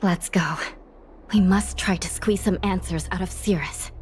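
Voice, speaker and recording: A woman playing a serious, level-headed investigator in a video game, speaking in a formal, measured, resolute way. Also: on the low side, fairly even intonation, darkish tone, a little hiss or hum, lightly acted.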